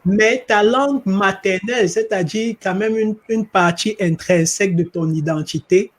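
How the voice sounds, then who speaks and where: Male interviewer, high voice, affirming, sums up the guest's points. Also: normal speed. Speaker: interviewer, platform founder.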